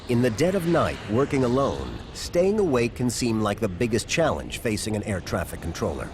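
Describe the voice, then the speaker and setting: A man reading scripted documentary narration in a measured, suspenseful storytelling manner. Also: on the low side, big pitch swings, slight background noise. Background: background music.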